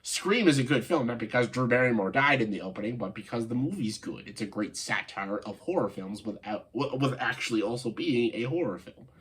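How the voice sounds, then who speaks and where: A man with a stuffed-up, nasal voice from a cold, giving casual, opinionated video commentary in a halting way, stressing key words and often saying "like". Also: mid-range voice, animated, a bit bright, slightly rough. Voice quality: congested, nasal voice. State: congested, stuffed-up nose after a cold. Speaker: video host, film commentator.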